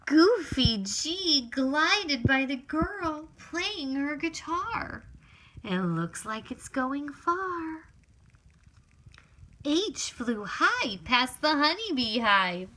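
Very animated, high-pitched woman reading a storybook. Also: extremely slow, noisy background. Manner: animated, exclamatory, sing-song storytelling for young children. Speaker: author reading own picture book. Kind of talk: expressive read-aloud of a children's storybook.

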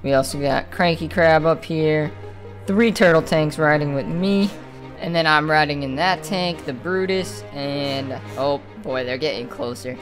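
A man's voice: fairly high pitch, unhurried, natural voice. A man plays a kraken villain in a Minecraft roleplay, a commanding but informal father. He orders his minions about and fiercely guards his child, with faint music and game gunfire behind him. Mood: commanding, protective.

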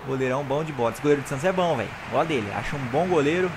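A young Brazilian man, hoarse from recording, giving excited, slangy commentary over his own football video game match and talking straight to his viewers. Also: mid-range voice, very fast, noisy background. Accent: Brazilian Portuguese. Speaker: gaming YouTuber. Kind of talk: live gameplay commentary.

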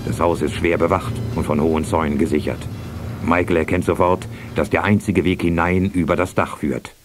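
A man's voice: low-pitched, fairly even intonation, fairly quick, natural voice. A man narrating a scripted radio play as its storyteller. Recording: music behind, cassette radio play.

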